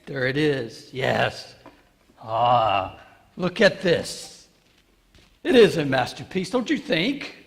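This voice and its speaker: A man playing a proud, excitable biblical carpenter in a theatrical church monologue, emphatic and halting, repeating phrases to his listeners. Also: mid-range voice, creaky. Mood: proud, delighted. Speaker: monologue performer. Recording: reverberant church hall.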